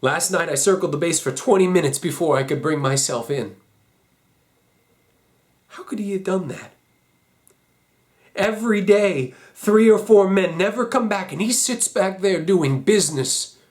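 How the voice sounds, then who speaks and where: A man playing an anguished, idealistic young American war veteran, guilt-ridden and outraged, in an intense, halting dramatic stage monologue. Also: a little high, lightly acted. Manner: intense, halting, emphatic, emotional.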